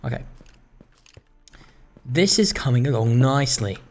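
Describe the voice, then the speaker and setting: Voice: medium pitch, lively intonation, a little slow, darkish tone, slight background noise. A person giving rambling, casual gaming commentary, musing and grumbling in an informal way. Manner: informal, musing, grumbling about small gripes. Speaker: gaming video host. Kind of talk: rambling casual Minecraft let's-play commentary.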